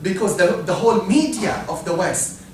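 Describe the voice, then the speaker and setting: A man from Syria, giving a talk, halting and repeating words, asking the audience questions. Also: high-pitched, animated, a little mellow. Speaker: speaker. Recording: echoey hall, from far off, faint noise.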